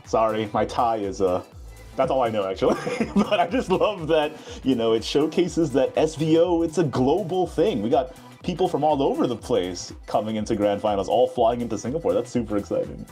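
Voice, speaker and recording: A man co-hosting a live esports bracket draw, playful and quick to laugh as he builds suspense. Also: mid-range voice, expressive, warm tone, soft background music. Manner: playful, laughs often, teasing. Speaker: esports co-host.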